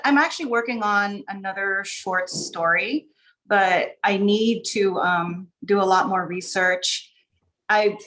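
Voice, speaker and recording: A woman's voice: medium pitch, a bit bright, poor audio. A woman chatting informally as a guest writer, explaining things in a rambling way with asides. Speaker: short story writer, podcast guest. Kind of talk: casual chat, explaining. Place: online video call.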